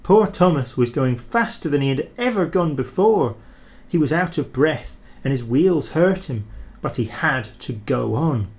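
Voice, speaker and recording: A man reading a children's train story aloud in an expressive, animated storyteller's manner. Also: a little high, unhurried.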